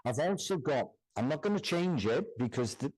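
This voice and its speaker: A man's voice: medium pitch, animated, normal speed, a little mellow, rough recording. A man working as a guest medium in a church service, speaking to audience members with frequent repetitions and "do you understand?" check-ins.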